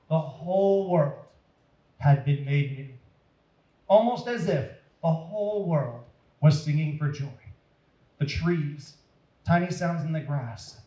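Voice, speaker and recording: A man's voice: high voice, a little mellow, roomy sound, poor audio. A man with an American accent reading expressively and explaining things simply as a children's storyteller.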